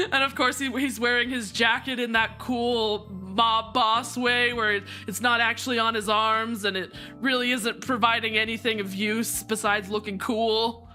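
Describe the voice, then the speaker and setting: A woman's voice: a little high, steady tone, a little slow, bright, forceful tone, faint music behind. A young woman streamer chatting and laughing through a story game, poking fun at her own voice work. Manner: giggly, chatty, self-mocking. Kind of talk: casual gameplay commentary.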